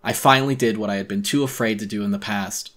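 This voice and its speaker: Male narrator for dramatic horror reading. Intense, deliberate, slightly deep, with a tense forceful edge. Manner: intense, theatrical, deliberate storytelling. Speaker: audiobook narrator.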